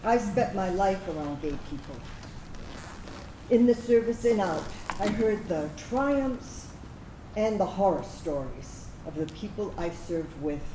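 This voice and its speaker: A woman's voice: mid-range voice, lively intonation, unhurried, lightly acted. A woman acting a reflective military veteran in a staged reading of a personal monologue. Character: reflective military veteran raised as an Army brat. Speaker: actor reading a personal narrative. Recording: echoey room with clear background noise.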